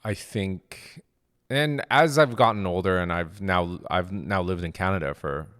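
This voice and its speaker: A man's voice: low voice, measured pace, fairly bright tone. A middle-aged man from Japan, a game studio co-founder, chatting in an interview with many fillers and restarts. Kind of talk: casual interview conversation.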